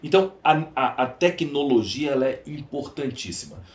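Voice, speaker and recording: A man's voice: mid-range voice, animated, moderate pace. A Brazilian man speaking as an interview guest, conversational and emphatic, repeating phrases for rhetorical effect. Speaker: podcast guest, entrepreneur. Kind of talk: conversational interview, storytelling and opining. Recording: roomy, echoey sound.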